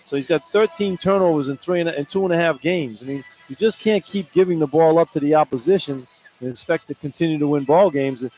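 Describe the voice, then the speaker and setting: A man's voice: fairly high pitch, fairly quick, a little mellow, soft background music, rough recording. A man doing unscripted halftime radio commentary on basketball in a conversational, blunt and critical manner.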